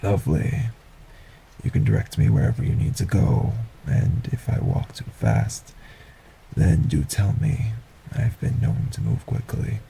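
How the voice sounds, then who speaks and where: A man voicing a polite, sinister male stranger in an audio roleplay, speaking formally and courteously with a soft, breathy menace. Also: fairly low pitch, measured pace, slight background noise, low-quality recording. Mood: smooth, courteous.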